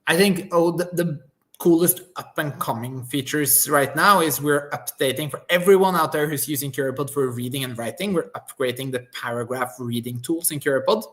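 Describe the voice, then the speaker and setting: Norwegian-accented man, startup founder guest on a video call, eager, self-correcting, mid-pitched. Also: a bit bright. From Norway. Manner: eager, restarts often, fillers. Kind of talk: casual live-stream interview.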